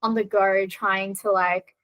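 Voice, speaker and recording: A woman's voice: medium pitch, flat delivery, measured pace, a bit bright, no room sound. A woman from Australia, a podcast guest talking in an earnest, reflective way with many fillers. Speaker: podcast guest, content creator. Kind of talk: casual podcast chat.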